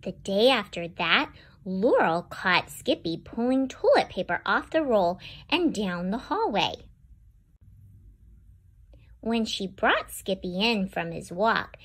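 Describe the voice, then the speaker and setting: Woman, storybook reader, very expressive pitch, speaks to kids, read-aloud host. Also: takes it slowly. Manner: animated, talks directly to young listeners. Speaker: children's book reader and host.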